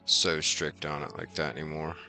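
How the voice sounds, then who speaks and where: A man chatting casually on a gaming livestream in a laid-back, halting way. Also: very deep voice, level tone, vocal fry, music in the background. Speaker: variety game streamer. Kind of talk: casual livestream chat over gameplay.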